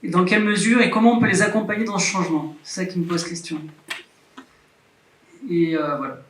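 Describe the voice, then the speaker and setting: A man's voice: high-pitched, steady tone, fairly quick, a bit bright, slight background noise. A man who advises livestock farmers, raising a question hesitantly in a workshop. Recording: roomy hall, distant mic.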